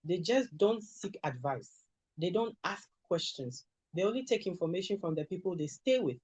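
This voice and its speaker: Ghanaian woman, calm measured lawyer. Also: on the low side, no echo. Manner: measured, advisory, clear. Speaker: immigration lawyer guest. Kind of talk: interview answers. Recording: dull lo-fi sound.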